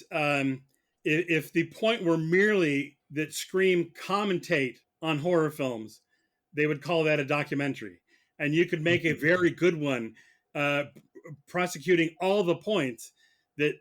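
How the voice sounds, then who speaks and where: A man arguing as a mock prosecutor in a podcast debate, halting and repeating words as he presses his point. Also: on the high side, fairly bright tone. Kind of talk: comic debate on a film podcast.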